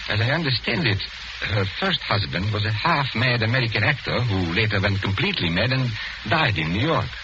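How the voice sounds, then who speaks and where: An actor playing a suave, theatrical stage magician with wry, morbid humour in 1940s radio mystery style. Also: male voice, fairly low pitch, sing-song, brisk. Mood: grave, measured. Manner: urbane, theatrical, quoting grandly. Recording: muffled, noisy 1940s radio recording.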